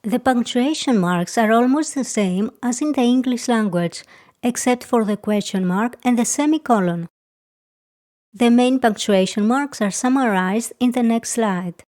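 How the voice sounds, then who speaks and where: A woman's voice: a little high, a little mellow. Greek-accented woman teaching. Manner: slow, careful, clearly enunciated. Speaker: language teacher. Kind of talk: scripted lesson narration.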